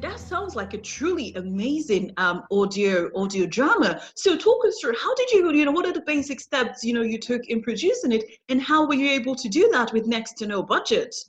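A woman's voice: a little high, very animated, fairly quick, few pauses. A woman hosting a podcast interview, asking questions with hesitant fillers. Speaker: podcast host. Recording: dull lo-fi audio with faint music.

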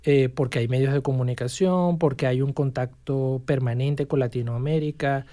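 A university language professor, interviewed as a guest, explaining at length in measured sentences with frequent restarts. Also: medium pitch, fairly even intonation, mellow. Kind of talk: casual interview chat.

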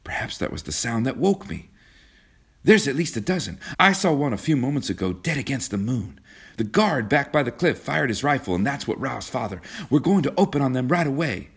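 A man voicing an excitable, naive, spoiled young woman in a breathless, theatrical storybook manner. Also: mid-range voice, fairly quick, husky, pitched above the performer's own voice, full character voice. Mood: excited.

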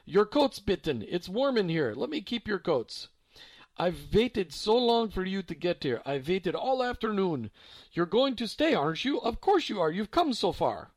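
A woman's voice: mid-range voice, sing-song, moderate pace, darkish tone, no echo, pitched above the performer's own voice. A man voicing a frail, confused elderly woman with a German accent, doting and mishearing words. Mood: pleading. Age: older.

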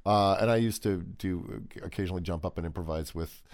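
A man in his forties with a deep voice, chatting casually as a podcast guest and telling animated stories with jokey asides. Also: a little fast, dry recording, studio quality. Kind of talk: casual chat.

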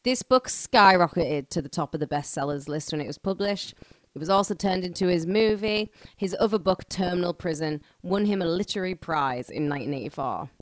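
A woman's voice: lowish voice, brisk, dry recording. A woman hosting a podcast, reading scripted true-crime narration and breaking into slangy, irreverent, joking banter. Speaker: podcast host.

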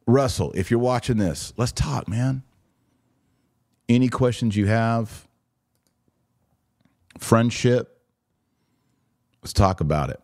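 A man's voice: mid-range voice, takes it slowly, pauses often, darkish tone, dry recording, studio quality. An American man in his forties hosting a podcast, chatting casually and speaking straight to his listeners as he answers comments. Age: 40s. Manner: conversational, addresses listeners directly. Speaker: podcast host.

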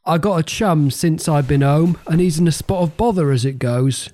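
A man's voice: a little high, normal speed, dark tone, no echo, close to an everyday voice. An actor playing a jokey, playful cockney man in wartime London. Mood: cheerful. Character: cheeky cockney wartime man, mysterious boyfriend.

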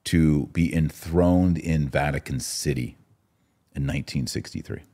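Very deep-voiced man explaining things casually. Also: measured pace, mellow. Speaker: podcast host. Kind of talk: casual religious discussion podcast.

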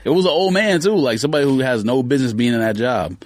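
A man's voice: mid-range voice, animated, fast, darkish tone, no room sound. A man co-hosting a podcast in casual, slangy, bantering conversation. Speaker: sneaker podcast co-host. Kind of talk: casual chat.

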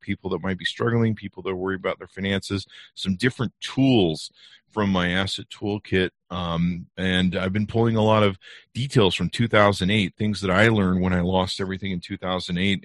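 A man's voice: low-pitched, fairly bright tone, no room sound. A man hosting a podcast, talking casually and rambling with many fillers and restarts. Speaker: podcast host. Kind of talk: casual interview chat.

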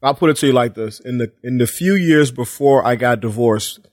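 A man in his forties talking as a podcast guest in casual chat, animated and swearing freely, often repeating himself. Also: mid-range voice, a little fast, no room sound, studio quality. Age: 40s. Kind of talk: casual conversation.

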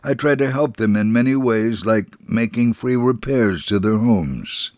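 An actor playing a kindly older Jerusalem man recounting his life in a measured, first-person dramatic reading. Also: medium pitch, a little mellow, no room sound, studio quality, natural voice. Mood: gentle storytelling.

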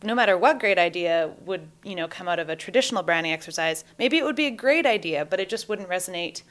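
A woman's voice: on the low side, lively intonation, fast, a bit bright. A woman talking casually as a guest in an interview.

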